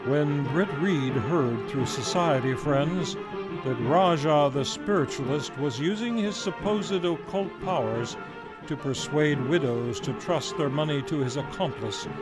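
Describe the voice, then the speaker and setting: A man narrating and announcing a community radio drama in a measured, formal way. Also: on the high side, low-quality recording. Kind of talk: old-time radio narration. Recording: loud music behind. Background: music.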